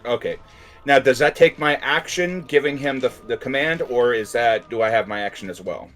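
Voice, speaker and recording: A man talks casually as a player in a tabletop fantasy game. He describes moves in a halting, deliberate manner at a middling pitch, with music underneath. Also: fairly bright tone.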